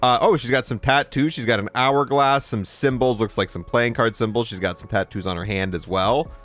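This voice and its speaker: A man's voice: medium pitch, brisk, fairly bright tone. A man reviewing a video game in an informal, rambling way, thinking aloud as he comments.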